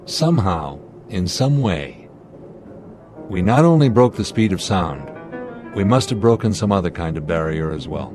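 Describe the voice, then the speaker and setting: An actor playing a steady, authoritative airline captain in a radio drama, reasoning deliberately and giving commands. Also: male voice, low voice, faint music behind. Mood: grave, deliberate.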